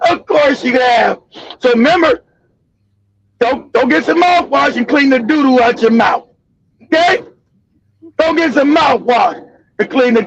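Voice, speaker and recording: A man hosting a show, delivering a loud, profane, mocking commentary rant. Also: extremely high pitch, vocal fry, dry recording, rough recording. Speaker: show host.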